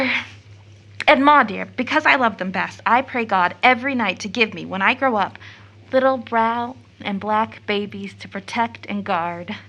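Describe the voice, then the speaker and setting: Devout, earnest young girl in audio drama; high, bright voice, fervent, emotionally heightened delivery. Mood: troubled, pleading. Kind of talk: stage-play audio drama acting.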